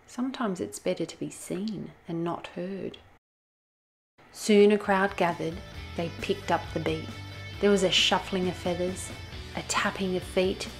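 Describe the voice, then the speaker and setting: Female children's storyteller, slightly low voice, unhurried expressive read-aloud, faint music underneath. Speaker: children's storyteller, channel host. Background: soft music.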